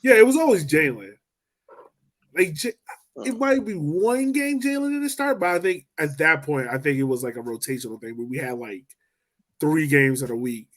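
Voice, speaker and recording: A man's voice: a little high, lively intonation, normal speed. A man co-hosting a sports podcast, talking loosely with lots of "um" and "like". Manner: loose and chatty, lots of "um" and "like", laughs easily. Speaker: podcast co-host. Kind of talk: casual sports chat.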